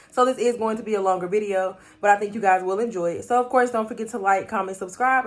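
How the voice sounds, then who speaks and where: Woman host, chill chatty vibe, video call panel chat. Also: medium pitch.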